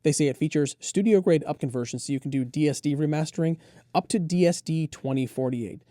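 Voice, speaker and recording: A man's voice: on the high side, fairly quick, dark tone, dry recording, clean studio sound. A man co-hosting a gear review, enthusiastically and conversationally running through detailed product specs. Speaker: audio gear reviewer and co-host.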